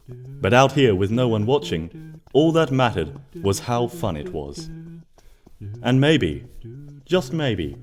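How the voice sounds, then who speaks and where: A man narrating a scripted story expressively, in a gentle storybook manner. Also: medium pitch. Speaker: fan fiction narrator.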